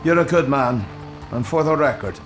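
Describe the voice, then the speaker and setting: A man's voice: mid-range voice, expressive, moderate pace, faint music behind, lightly acted. Man acting wry barkeeper, swooping delivery. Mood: matter-of-fact, knowing. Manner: sardonic, folksy, colorful turns of phrase. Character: gruff, wry male barkeeper, an immortal trading favors. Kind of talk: audio drama acting.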